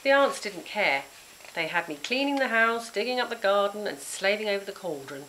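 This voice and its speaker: Animated woman reading a children's story. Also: mid-range voice, moderate pace, a little hiss or hum, rough recording. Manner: dramatic, emphatic. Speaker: author reading their own book. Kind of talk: expressive children's storybook narration.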